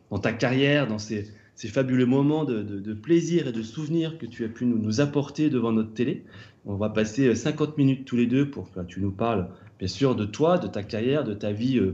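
A man's voice: medium pitch, dark tone, dull audio. A man hosting a podcast interview, welcoming his guest with many hesitant fillers. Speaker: podcast host.

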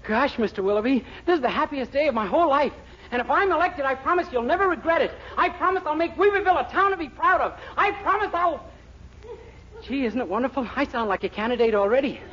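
A man's voice: very high-pitched, animated, fast talker. An actor playing a naive, eager young man with a cheeky wit in a 1940s radio comedy. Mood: excited, eager. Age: young adult. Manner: innocent, earnest, cheeky. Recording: hissy, dull 1940s radio recording.

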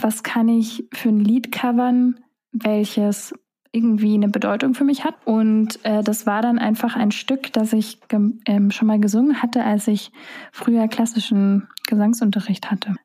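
A woman's voice: a little high, steady tone, measured pace, mellow. A young woman, a singer-songwriter whose first language is German, talking casually in an interview, musing with many fillers.

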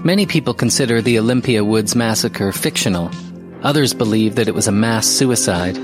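An actor playing an investigative podcast host, narrating a mystery audio drama in a measured way with deliberate pauses. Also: male voice, lowish voice, close to an everyday voice. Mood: ominous, measured. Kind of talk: scripted audio drama narration, documentary style. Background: music.

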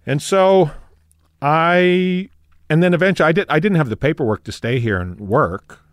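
A man's voice: fairly high pitch, moderate pace, dry recording, studio quality. A middle-aged man from rural Saskatchewan with a Canadian prairie accent. He is a comedian chatting casually as a guest, rambling and correcting himself as he goes. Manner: rambling, self-correcting, laughs easily. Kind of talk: casual interview chat.

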